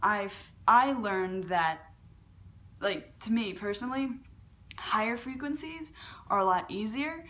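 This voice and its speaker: A woman's voice: slow, fairly bright tone. Chatty young woman teaching beatbox. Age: young adult. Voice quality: lower voice for a woman. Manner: chatty, with fillers and restarts. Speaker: beatbox tutorial host. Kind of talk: casual video tutorial. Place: large living room at home.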